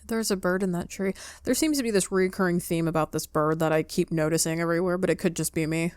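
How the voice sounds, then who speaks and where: Low-voiced woman playing a game, dry sound. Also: steady tone, fast, darkish tone, clean tone, no echo. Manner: reactive, talking back to the game. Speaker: gaming video host, player. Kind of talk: casual let's play commentary.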